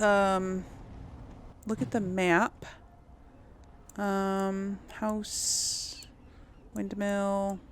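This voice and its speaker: A woman's voice: mid-range voice, gravelly, a little hiss or hum. A woman giving casual game commentary as a let's play host, musing aloud to herself.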